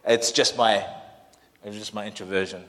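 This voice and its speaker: A man's voice: fairly low pitch, steady tone, normal speed, fairly bright tone. A man in his thirties from Samoa, Samoan his first language, giving a friendly, chatty introduction to a congregation as their new pastor, with self-deprecating asides. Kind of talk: sermon introduction. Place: church hall. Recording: long hall reverb in a church.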